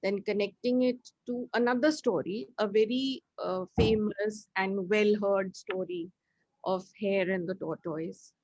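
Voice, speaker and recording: A woman's voice: medium pitch, a little slow, darkish tone, dry recording. A woman giving informal, hesitant feedback as a workshop participant. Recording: dull, dry call audio.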